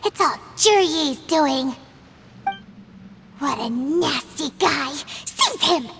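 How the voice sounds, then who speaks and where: An actress voicing a tiny, excitable, indignant childlike sprite companion in a video game, with a squeaky voice. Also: female voice, very slow, background noise, exaggerated voice. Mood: outraged. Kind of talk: video game cutscene voice acting.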